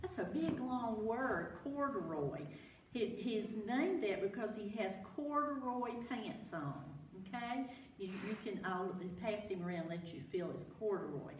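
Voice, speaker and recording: A woman leads a children's storytime with very lively, swooping pitch. She takes her time, repeating words and putting questions to her young listeners. She is recorded at a distance in an echoey room with some noise. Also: unhurried. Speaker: library storytime host. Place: echoing room with children present. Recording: roomy, distant mic with background noise.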